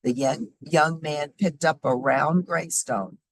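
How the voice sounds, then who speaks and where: A woman reading a children's story aloud expressively, with sing-song repetition and deliberate emphasis. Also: low voice, a little hiss or hum. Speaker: storybook reader.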